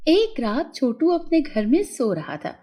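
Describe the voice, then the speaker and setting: A woman narrating a scripted fairy tale for children in an expressive storytelling manner. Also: high-pitched, a little slow.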